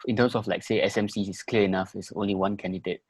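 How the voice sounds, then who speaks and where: A man's voice: fairly low pitch, steady tone, quick pace, slight background noise. A man of twenty-four with a Singaporean accent, talking conversationally as a member of a discussion panel. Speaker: first-time voter on a discussion panel.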